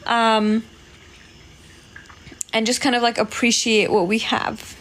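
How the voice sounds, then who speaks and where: A woman's voice: fairly high pitch, level tone, slow pace, fairly bright tone. A woman from Poland hosting a casual livestream, chatting with viewers, thanking them and laughing often. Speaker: livestream host. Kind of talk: casual livestream chat reading comments.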